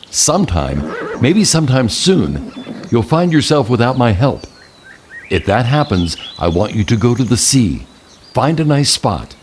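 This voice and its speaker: An actor playing an older male mentor and army general in an audio drama, speaking in a measured, patient, enigmatic way. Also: medium pitch, slight background noise. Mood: grave, instructive.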